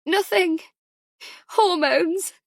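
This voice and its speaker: A woman voicing a tired, guarded new mother, measured and emotionally frayed, in an audiobook. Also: very high-pitched, vocal fry, no room sound, voice pushed up, exaggerated voice. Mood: tearful. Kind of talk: audiobook character dialogue.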